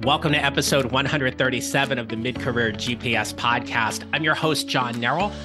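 A middle-aged man hosting a career-advice podcast, his voice raspy from illness, speaking in an upbeat, encouraging, conversational way. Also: medium pitch, fairly quick, over music. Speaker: podcast host.

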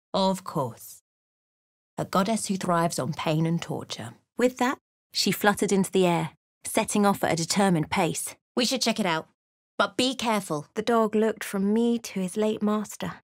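Woman voicing fantasy game heroine, wry, watchful, measured dramatic storytelling, medium pitch, dry close sound. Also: no room sound, lightly acted. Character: wry, watchful female fantasy adventurer recounting her journey. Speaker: video game voice actor. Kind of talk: scripted fantasy game narration and dialogue.

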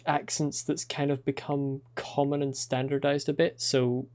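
A man's voice: mid-range voice, little pitch movement, a little slow, a little mellow. A man from Scotland with a Scottish accent with a slight English tinge, chatting casually and dryly while streaming. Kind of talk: casual chat while transcribing.

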